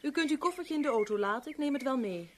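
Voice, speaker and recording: An actress playing a cool, haughty young millionaire's stepdaughter in a radio drama, curt and cutting. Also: female voice, sits high, animated, fast. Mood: curt, dry.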